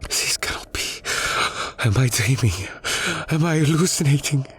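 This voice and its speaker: A man's voice: medium pitch, animated, unhurried, a little hiss or hum, pitched above the performer's own voice, lightly acted. A man voicing a frightened, disbelieving trench soldier in an audiobook, shaky and urgent. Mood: desperate panic. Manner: shaky, urgent, breathy outbursts. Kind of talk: dramatic audiobook character voice.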